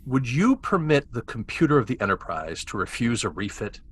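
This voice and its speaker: Man as a husky, animated, arrogant commander. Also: medium pitch, a little fast, dry recording. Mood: insistent. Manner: argumentative, pressing. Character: insistent, arrogant cybernetics commander. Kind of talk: amateur table read of a sci-fi script.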